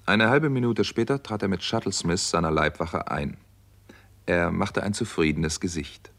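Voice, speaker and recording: A determined doctor playing detective speaks in a low male voice. He is earnest and emphatic, with a readiness to confront, in the manner of a sixties German radio thriller. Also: normal speed, vocal fry, fairly bright tone, exaggerated voice. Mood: measured narration. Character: American doctor, indignant, determined amateur sleuth and narrator. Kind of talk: 1960s German radio crime drama acting.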